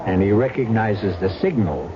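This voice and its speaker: A man hosting a 1970s radio mystery with measured, dramatic scripted narration. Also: on the low side, animated, background noise. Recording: dull 1970s broadcast with loud music.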